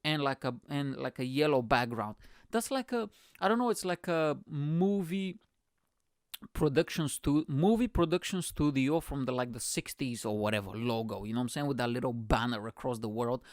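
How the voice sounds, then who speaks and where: A man's voice: medium pitch, moderate pace, dry recording, studio quality. Profane, rambling young man. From Bulgaria. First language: Bulgarian. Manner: profane, rambling, animated, constant "you know what I'm saying". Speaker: podcast host. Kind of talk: casual storytelling monologue.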